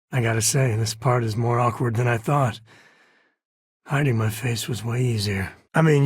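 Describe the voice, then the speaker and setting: A man's voice: medium pitch, slightly rough, no room sound, clean studio sound, close to an everyday voice. A man voicing an easygoing, folksy space ranger and father in a video game, with a wry, casual drawl. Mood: matter-of-fact.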